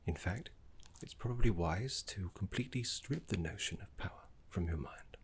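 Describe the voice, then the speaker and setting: A man voicing a gentle, erudite necromancer teacher in a fantasy ASMR roleplay, speaking in a breathy half-whisper with refined, reassuring deliberation. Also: sits low, animated, moderate pace, exaggerated voice. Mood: earnest, reverent.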